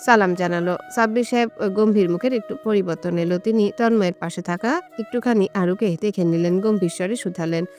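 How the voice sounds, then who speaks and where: Lady reading out a love story, medium pitch, music playing behind her. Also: a little fast, darkish tone. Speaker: narrator. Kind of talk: scripted romantic story narration.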